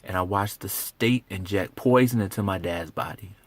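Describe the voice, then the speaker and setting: Man acting a grieving, bitter son, halting delivery. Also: low-pitched, dry recording. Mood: haunted, subdued. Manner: halting, emphatic repetition with heavy pauses. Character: grieving, bitter grown son of an executed innocent father. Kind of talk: dramatic stage monologue.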